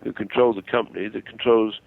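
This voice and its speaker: Older male phone-in guest, low-pitched, halting yet self-assured, narrow tinny telephone sound. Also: fairly even intonation, creaky, no echo. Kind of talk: conversational explanation and opinion over the phone.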